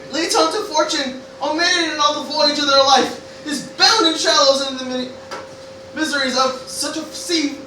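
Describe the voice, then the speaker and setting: A woman's voice: high-pitched, pushed and bright. A woman playing an anxious teenage grandson who declaims stammering Shakespearean lines in a comic stage parody. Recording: very echoey room, distant mic, noisy.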